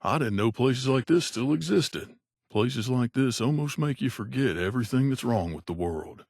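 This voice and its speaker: An actor playing a wry, gruff mercenary companion in a video game, speaking in a conversational, grumbling way. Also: male voice, medium pitch, lively intonation, normal speed, darkish tone, dry recording, clean studio sound. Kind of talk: game character voice lines.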